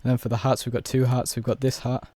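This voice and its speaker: A young man with a hoarse, worn voice, casually walking through a music production tutorial step by step with plenty of fillers. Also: mid-range voice, flat delivery, fast, mellow. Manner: laid-back, explains step by step with many fillers. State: hoarse, losing his voice.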